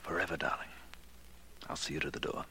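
An actor playing a smooth-talking, two-faced gigolo dancer in a 1940s radio drama. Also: male voice, rumbling low, fairly even intonation, brisk, bright, forceful tone, whispered, exaggerated voice. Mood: syrupy, romantic. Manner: syrupy with his mark, gruff and irritable otherwise. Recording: noisy vintage radio drama recording.

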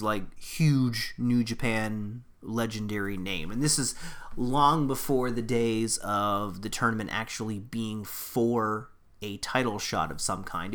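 A man's voice: lowish voice, pushed and bright. A man hosting a podcast, talking through wrestling news in a rambling, self-correcting, conversational way. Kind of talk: casual solo commentary.

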